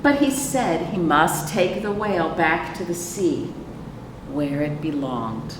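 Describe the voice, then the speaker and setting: An American woman hosting children's storytime as a librarian, reading picture books expressively and asking her young listeners questions. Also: low voice. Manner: expressive, asks listeners questions and pauses for answers. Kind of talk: picture book read-aloud for young children. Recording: roomy, echoing, noisy, far from microphone.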